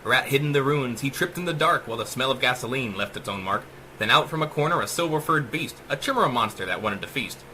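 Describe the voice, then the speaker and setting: A man's voice: mid-range voice, expressive, fast talker, sharp tone, a little hiss or hum, low-quality recording. A man narrating a rhyming short story as a dramatic audiobook, with theatrical, emphatic delivery. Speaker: author reading own short story.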